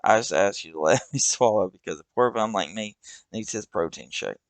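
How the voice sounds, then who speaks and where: A crude, unbothered old homeless man with a dry way of talking, voiced by a man. The voice is low and gravelly. It is heard close, in a dry sound. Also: a little fast, dry recording, natural voice.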